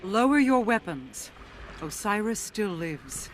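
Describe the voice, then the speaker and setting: A woman's voice: medium pitch, sing-song, slight background noise. Casting: composed otherworldly game queen, formal and calculating, heard echoey and set back over music. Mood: commanding. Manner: formal, measured, commanding. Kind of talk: video game cutscene dialogue. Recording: echoey, distant, with music behind.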